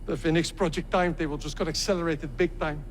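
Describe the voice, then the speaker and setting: An actor playing a gleeful, scheming scientist in a conversational video game scene. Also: male voice, on the high side, fairly even intonation, fast talker, a little hiss or hum, close to an everyday voice. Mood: smug, gleeful. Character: gleeful scientist scheming over a cloning project. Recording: long hall reverb.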